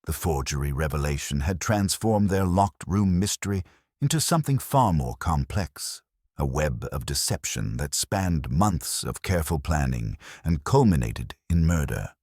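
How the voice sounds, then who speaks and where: A man reading a scripted mystery story as narrator, in a measured, even-paced way. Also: a bass voice, slightly rough, no room sound, clean studio sound.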